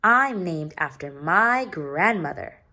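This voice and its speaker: A woman's voice: fairly low pitch, animated, slow pace, pushed and bright, heavily acted. A woman voicing a snooty, know-it-all little schoolgirl from a storybook, pointed and emphatic. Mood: smug.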